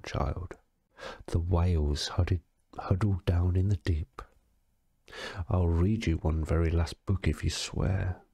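A tipsy man with a very low, husky voice reads like he is telling a bedtime story. He goes slowly and deadpan, with casual swearing, in a close, dry sound. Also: dry recording. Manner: slow, sweary, deadpan, stumbling over words. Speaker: story reader. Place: alone in a quiet, dry-sounding space.

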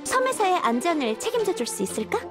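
A self-assured young astrologer woman from an anime game. Her voice is high and expressive, with plain-spoken phrasing. Music plays behind her. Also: fast talker. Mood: dry.

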